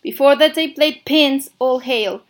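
A young woman from Mexico hosts a laid-back radio show. Her speech carries a blended Mexican and American accent. Her voice sits slightly high, and she wanders through her thoughts with plenty of fillers. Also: a little slow, slight background noise. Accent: Mexican, mixed with American English. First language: Spanish. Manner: rambling, lots of fillers like "I don't know" and "like". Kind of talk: casual radio DJ chat.

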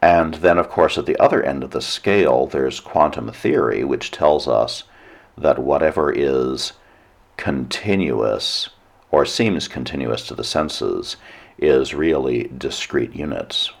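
A very deep, gravelly male voice, hoarse and nearly gone, speaking slowly. He delivers a solo radio monologue in a measured, lecturing way with dry little asides. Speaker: radio show host.

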